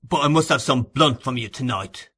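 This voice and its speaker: Man putting on a nasty bitter burglar, loud growly voice, bright and forceful. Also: mid-range voice, normal speed, breathy edge. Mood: demanding. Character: brutal, surly male burglar, sick and bitter.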